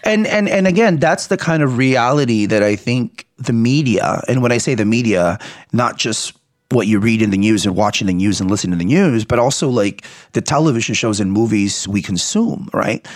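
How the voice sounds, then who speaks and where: A man in his forties, from the Philippines, talking earnestly and reflectively as an interview guest, often checking in with "right?" and soft laughs. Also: mid-range voice, expressive, moderate pace, dry recording, clean studio sound.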